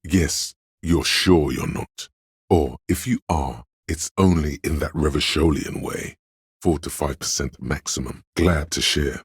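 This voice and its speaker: A man voicing a know-it-all inner voice of encyclopedic trivia in a video game, measured, pedantic and dryly wry. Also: extremely low pitch, husky, no room sound, clean studio sound, full character voice.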